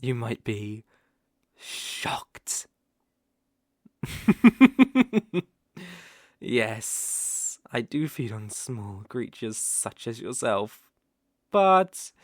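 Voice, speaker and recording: Someone voicing a very slow, sly, teasing naga. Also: mid-range voice, sing-song, no room sound, clean studio sound. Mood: menacing, amused. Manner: slow, teasing, coaxing drawl with soft chuckles. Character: smooth-talking, sly, predatory naga fond of cute prey. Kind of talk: roleplay ASMR acting.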